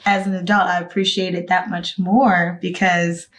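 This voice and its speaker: Late-twenties woman, low and flat-toned. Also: moderate pace. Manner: thoughtful, with self-corrections and "like". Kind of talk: casual group discussion.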